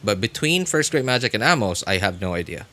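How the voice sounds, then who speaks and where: A man chatting casually as he comments on gameplay on a live stream, rambling and often correcting himself in a halting way. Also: fairly low pitch, big pitch swings, pushed and bright. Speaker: game livestreamer.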